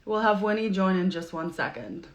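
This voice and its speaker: A woman's voice: medium pitch, lively intonation, normal speed. A woman hosting a casual live video interview from New York over a video call, chatty and laughing often, with many fillers. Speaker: podcast host.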